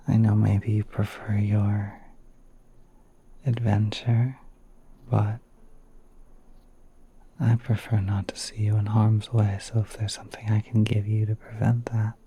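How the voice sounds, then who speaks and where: A very mellow, breathy spider prince played by a man murmurs in a soothing ASMR roleplay. His delivery is low and even, often dropping to a whisper. He is courteous and a little shy, musing hesitantly as he talks. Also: slight background noise. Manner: hesitant, polite, musing, unhurried. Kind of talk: ASMR roleplay, soft-spoken monologue.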